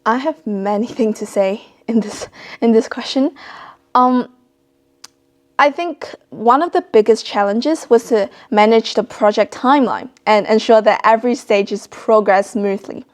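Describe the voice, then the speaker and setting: High-voiced teenage girl from China. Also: a little slow. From China, later Australia. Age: teens, high school student. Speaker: interviewee, student author. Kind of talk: interview answers about own project.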